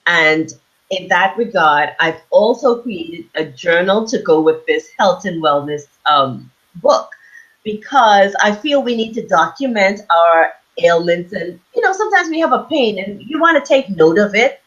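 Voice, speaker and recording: Woman from the bahamas answering interview questions, medium pitch, kinda muffled lo-fi call sound. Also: lively intonation, unhurried, fairly bright tone. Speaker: interview guest, aromatherapy business owner and author. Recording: dull lo-fi online call audio.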